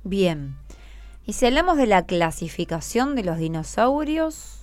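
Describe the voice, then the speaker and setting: A woman's voice: medium pitch, big pitch swings, unhurried, a little mellow, no room sound. An Argentine woman hosting a radio interview, prompting and encouraging with short follow-up questions. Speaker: radio host interviewing schoolchildren. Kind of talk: guided question-and-answer interview. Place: community radio programme. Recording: music playing behind the voice. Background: music.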